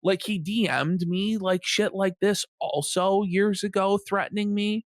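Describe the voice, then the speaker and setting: A man's voice: high voice, fairly even intonation, measured pace, no room sound, studio quality. A man co-hosting a show with casual, scornful reaction commentary, swearing freely. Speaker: show co-host.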